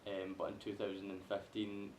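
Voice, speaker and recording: A man's voice: completely flat delivery, fairly quick, a little mellow. A man with a Scottish accent talking casually in a group podcast discussion. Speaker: podcast panelist. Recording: echoey room with faint rumbling noise.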